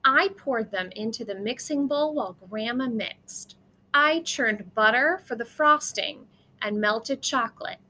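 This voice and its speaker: A woman's voice: fairly high pitch, unhurried, pushed and bright, rough recording. A woman reading a children's storybook aloud, expressively acting out the dialogue and sound words.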